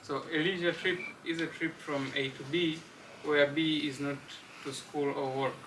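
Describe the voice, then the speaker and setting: A man from Tanzania explains a student project in a steady, plain way. His voice is a little high. The mic is far off and the room noise is clearly heard. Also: normal speed. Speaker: student presenter.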